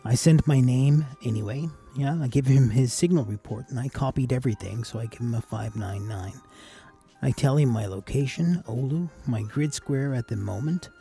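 A man giving a casual, measured instructional voice-over as an amateur radio operator hosting a tutorial. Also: mid-range voice, gravelly, mellow, soft background music.

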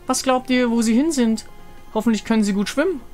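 Man putting on a very high, sweet girl. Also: a little fast, a little mellow, soft background music. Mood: curious, concerned. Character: sweet, curious, polite young doll-like girl.

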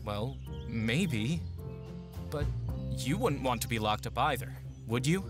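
A man's voice: medium pitch, lively intonation, slow pace, music in the background. A man playing an earnest, unsure boy who dreams of being a mercenary, in a fantasy audio drama. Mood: gentle, wistful. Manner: hesitant, earnest. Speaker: voice actor.

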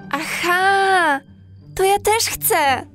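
Eager high-voiced animal schoolchild. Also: female voice, very slow, a bit bright, faint music behind, heavily acted. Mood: curious. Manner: eager, questioning. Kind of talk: children's audio drama acting.

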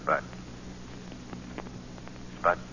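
Casting: mysterious avenger, stern and commanding, radio thriller, dull vintage sound with clear noise. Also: male voice. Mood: commanding, eerie. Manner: slow, commanding, deliberate interrogation. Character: invisible avenging man, menacing, commanding, mysterious. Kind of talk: 1940s radio acting. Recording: noisy, muffled 1940 radio recording.

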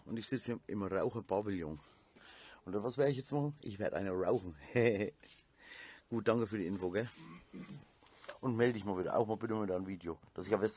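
A man's voice: fairly low pitch, darkish tone, breathy edge. A man chatting casually in a slangy, sweary, rambling way. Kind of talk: casual chat, voice messages and phone talk.

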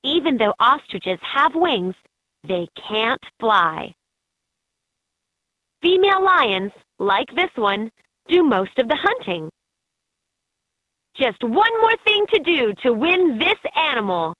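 A woman voicing an encouraging guide in a children's educational game, narrating in a clearly enunciated, instructive way. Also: sits high, sing-song, slow pace, pauses often, no echo, heavily acted. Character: encouraging female guide in a kids' animal learning game. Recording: muffled lo-fi handheld game audio clips.

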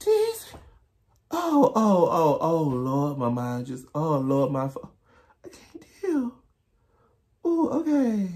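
A man's voice: fairly high pitch, huge pitch swings, takes it slowly, long pauses, a little mellow, slightly rough. A young man reacting to a music video trailer in his living room, talking casually with playful, dramatic exclamations. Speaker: reaction YouTuber. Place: small home living room, indoors.

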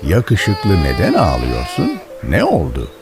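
Kindly old grandfather, lively intonation. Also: sits low, takes it slowly, over music, heavily acted. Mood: friendly, caring. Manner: instructive, gentle moralizing. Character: kind, pious village grandfather, patient and wise. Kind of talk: educational children's cartoon dialogue.

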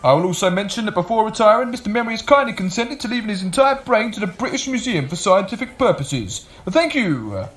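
A man's voice: high-pitched, big pitch swings, fast, few pauses, fairly bright tone. A man playing a ceremonious music-hall master of ceremonies in a homemade radio play, with a showman's delivery. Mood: grand announcing.

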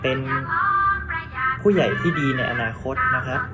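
Mid-pitched man over loud music. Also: expressive, unhurried. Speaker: actor giving a holiday greeting to children. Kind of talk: polite greeting to viewers.

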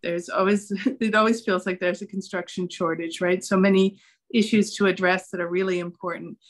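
Woman panelist on a video call, mid pitch, explaining stuff thoughtfully. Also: fairly even intonation, moderate pace. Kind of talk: informal panel discussion.

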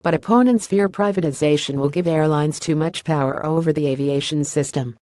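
A synthetic voice reading a news article in an even, uninflected scripted delivery. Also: mid-range voice, a little fast, dry recording, clean studio sound. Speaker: news reader.

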